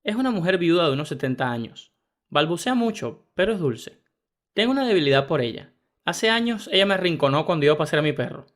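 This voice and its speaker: A man narrating first-person internet stories in a brisk, even reading style. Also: fairly high pitch. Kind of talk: scripted story narration.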